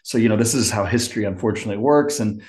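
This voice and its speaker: A man's voice: on the low side, very fast, a little mellow. A man in his forties, born in London and raised in Turkey and Texas, talking as a podcast guest in a loose, rambling way with many fillers and restarts. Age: 40s. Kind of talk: casual interview talk. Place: home office in Chicago.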